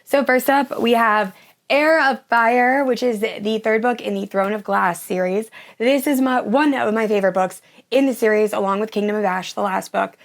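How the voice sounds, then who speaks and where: A young woman hosting a casual book vlog, her voice hoarse and nearly gone after the flu, gushing about the books she plans to read. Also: a little high, moderate pace. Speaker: book channel host.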